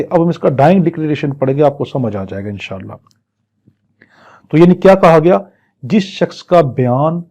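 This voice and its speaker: A man giving a law lecture, reading English legal clauses slowly and then explaining them. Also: fairly high pitch, dark tone. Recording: dull lo-fi recording.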